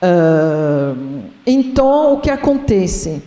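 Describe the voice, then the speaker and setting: Slow, mellow-voiced woman lecturing in a hall. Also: lowish voice, very animated. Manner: hesitant, with frequent pauses and "eh" fillers. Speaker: conference speaker. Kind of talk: academic lecture. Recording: echoey hall with long reverb.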